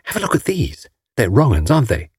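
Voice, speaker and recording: A man voicing a bright, cheeky young British wife in a breezy, teasing manner for an audiobook. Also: mid-range voice, huge pitch swings, fairly quick, husky, dry recording, studio quality, full character voice. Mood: brisk.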